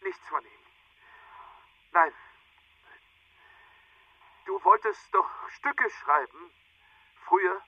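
A man's voice: high voice, very slow, pauses often, slightly rough, distant mic, muffled recording, exaggerated voice. An actor playing an intense film director absorbed in his work. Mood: musing. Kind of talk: German radio drama acting.

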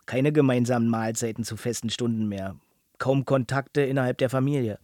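A man narrating a radio documentary in a measured, grave manner. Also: mid-range voice, fairly even intonation, a little mellow, dry recording, clean studio sound. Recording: narration over faint music.

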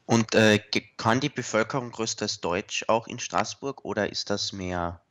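A man's voice: on the low side, moderate pace, fairly bright tone, slight background noise. A man interviewing a guest on the radio, asking long, searching questions full of fillers.

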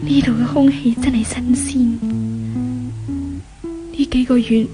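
An actress as a timid young woman in an old Cantonese radio play, speaking with a Hong Kong accent. Her voice is high and earnest, full of theatrical wonder and regret. Plucked-string music plays clearly behind her. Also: steady tone, a little slow, close to an everyday voice. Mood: hushed wonder. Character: repentant, timid young woman longing for a new life. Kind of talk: 1970s Cantonese radio drama acting.

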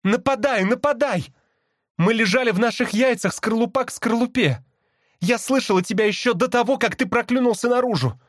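A man voicing a fierce, desperate young female magpie with urgent, shrill cries in an audiobook. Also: fairly quick, no echo, studio quality. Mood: urgent, commanding. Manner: shrill, urgent, exclamatory crying out. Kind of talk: dramatized audiobook character.

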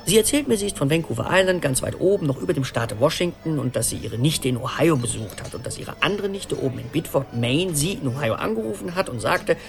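An actor in a radio play voicing an anxious middle-aged man who tells his story in an urgent, animated, rambling conversational monologue. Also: a little high, fast, gravelly, hardly any pauses, over music. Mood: animated recounting. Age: 40s.

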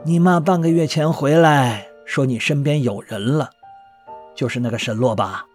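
An actor playing a gruff but loving older father, plain-spoken and bantering, in a colloquial audio drama. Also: mid-range voice, moderate pace, a little mellow, soft background music, close to an everyday voice. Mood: probing.

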